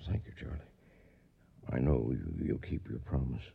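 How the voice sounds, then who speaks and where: An actor playing a dying older cattle drover in a radio western, gentle and regretful, speaking weakly and breathily with halting pauses. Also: male voice, rumbling low, creaky, slightly rough, phone-quality sound, exaggerated voice. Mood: fading, solemn. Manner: faltering, pausing between phrases. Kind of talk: radio western drama acting.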